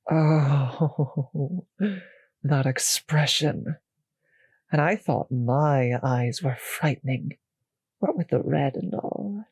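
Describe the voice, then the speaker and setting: Slow, breathy vampire mistress, acted by a woman. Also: low voice, a little mellow, dry recording, full character voice. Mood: gloating, teasing. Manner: slow, teasing, condescending purr with whispered phrases. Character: cruel, possessive, seductive female vampire mistress toying with servant. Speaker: voice actor in an audio roleplay. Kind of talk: intimate scripted audio roleplay.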